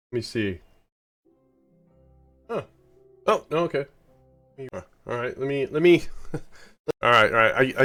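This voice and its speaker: A man with a head cold giving rambling, casual commentary while live-streaming a space game, often correcting himself. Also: fairly high pitch.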